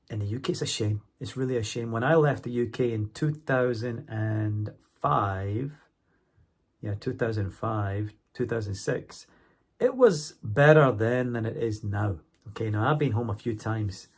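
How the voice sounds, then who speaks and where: A man's voice: fairly low pitch, moderate pace, gravelly, slightly rough. A Scottish man in his forties with a cold, talking casually to camera as a YouTuber and checking in with his viewers as he goes. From Scotland. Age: 40s. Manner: chatty, keeps checking in with viewers. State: has a cold.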